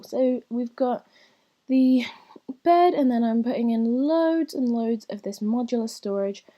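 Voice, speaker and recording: Woman for a casual gaming voiceover, a bit croaky, warm, rambling. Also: fairly high pitch. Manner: chatty and rambling, with soft whispery asides. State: slightly croaky, recovering from lost voice. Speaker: gaming channel host. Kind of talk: casual voiceover commentary over gameplay.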